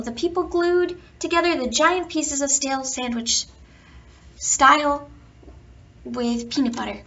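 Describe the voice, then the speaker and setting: A woman's voice: a little high, vocal fry. Lady reading kids a bedtime book, stretches words out, kinda far from the mic w a bit of background noise. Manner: storytelling to children, expressive emphasis and drawn-out words. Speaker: children's bedtime story reader. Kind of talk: reading a picture book aloud with playful asides. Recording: dull, distant home recording with background noise.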